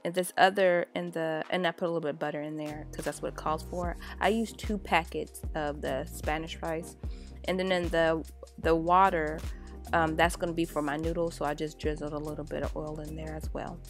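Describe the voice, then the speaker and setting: A woman's voice: sits low, moderate pace, soft background music. A woman, a mom chatting casually as she walks through her meal prep. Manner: chatty and relaxed, many fillers. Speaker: vlogger, mom cooking for her kids. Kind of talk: casual cooking voice-over.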